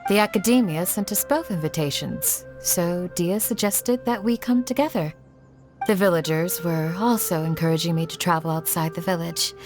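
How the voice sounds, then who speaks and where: An actress playing a gentle, polite, calm young woman in a video game. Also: fairly low pitch, normal speed, over music, natural voice. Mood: calm, warm.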